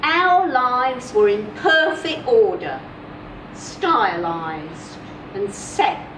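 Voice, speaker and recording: A woman giving an expressive, deliberate dramatic reading of a first-person short story, with measured pauses. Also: fairly high pitch. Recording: roomy, distant mic, clear background noise.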